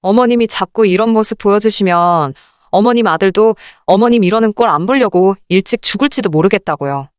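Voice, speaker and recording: A woman reading a scripted first-person story in an expressive, indignant radio drama style. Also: mid-range voice, fairly quick, clear, resonant tone, no room sound, studio quality. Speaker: narrator of dramatized listener stories.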